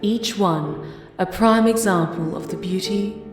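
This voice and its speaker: A woman's voice: medium pitch, measured pace, faint music behind, natural voice. A woman playing a formal herald at a vampire gathering in an audio drama, announcing grandly with echoey hall reverb. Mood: ceremonial, ominous.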